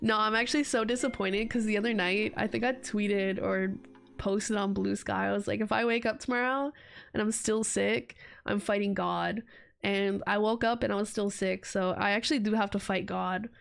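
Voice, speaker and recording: A woman's voice: mid-range voice, steady tone, normal speed, creaky. A young woman streaming casual chat while getting over a cold, her voice stuffy and congested, rambling and laughing often. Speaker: VTuber streamer.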